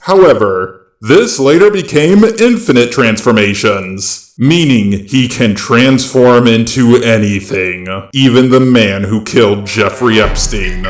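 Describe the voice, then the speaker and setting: A man's voice: medium pitch, animated, unhurried, few pauses, reverberant. A male synthetic robot voice delivering scripted video narration in a matter-of-fact way. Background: background music.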